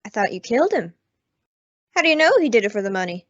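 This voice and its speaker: A woman's voice: medium pitch, very animated, fast, fairly bright tone, no echo. A young woman acting a hard, vengeful old widow in a radio Western, curt and scornful. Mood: bitter, hard. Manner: curt, scornful, rustic grammar. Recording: dull, dry lo-fi recording.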